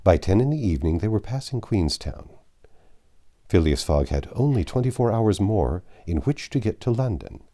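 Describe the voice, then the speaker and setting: Male audiobook narrator, deep and warm, expressive storybook read with lively, wide-moving intonation. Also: moderate pace.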